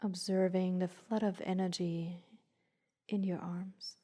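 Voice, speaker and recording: A woman with a soft, breathy voice leading a guided meditation in a soothing, unhurried way, leaving long pauses between short instructions. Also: lowish voice, flat delivery.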